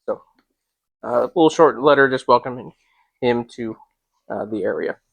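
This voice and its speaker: A man reading and discussing a classic novel as host, in an informal, measured, slightly hesitant way. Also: medium pitch, vocal fry, no room sound. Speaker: reader and host. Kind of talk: dramatic literary reading with casual commentary.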